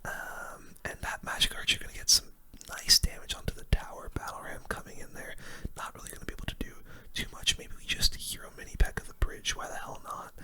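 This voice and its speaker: A young man speaks in a steady whisper, very bright and sibilant. He gives informal, relaxed ASMR game commentary right up close to the microphone. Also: fairly quick. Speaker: gaming video creator.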